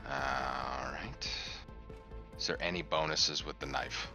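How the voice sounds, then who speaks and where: A man's voice: rumbling low, fairly even intonation, slow pace, creaky, music in the background. A man playing a tabletop roleplaying game, chatting casually as he thinks through his moves. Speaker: player.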